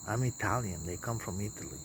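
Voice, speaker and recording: A man with a Romanian accent giving a rambling, off-the-cuff monologue in a halting, repetitive, disjointed way. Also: lowish voice. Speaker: self-styled religious messenger recording a video monologue. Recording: clear background noise, chirping insects.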